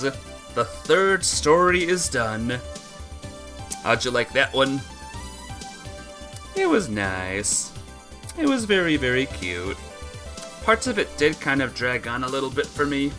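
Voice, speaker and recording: Very deep-voiced woman streaming a game. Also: sing-song, takes it slowly, pauses often, fairly bright tone. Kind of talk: visual novel read-aloud and casual chat. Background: music.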